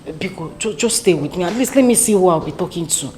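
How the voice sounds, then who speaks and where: A woman's voice: fairly low pitch, expressive, fast talker, darkish tone, echoey room, natural voice. An actress with a Nigerian accent playing a worried young woman who stammers and pleads while waiting for her brother. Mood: anxious.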